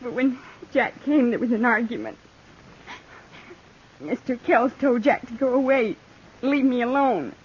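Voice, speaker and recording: An actress in a 1950s radio western playing a hurt, betrayed frontier girl who speaks in halting, broken phrases. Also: a little high, lively intonation, breathy edge. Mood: grieving, bitter. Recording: muffled, hissy 1950s radio recording.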